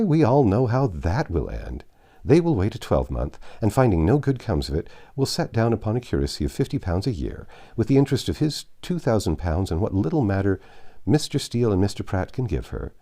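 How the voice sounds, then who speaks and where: A man plays a good-hearted older gossip of a matron from a classic novel. The voice is lively and swooping, pushed up above a mellow base, with chatty, emphatic exclamations. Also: fairly low pitch. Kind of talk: dramatised audiobook character voice.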